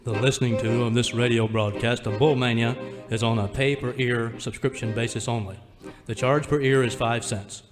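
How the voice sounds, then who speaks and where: A man playing a stern, menacing announcer reading a deadpan mock legal notice on radio. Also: medium pitch, moderate pace, close to an everyday voice. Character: stern male disclaimer reader, humorlessly menacing lawman type. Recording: reverberant hall, music behind.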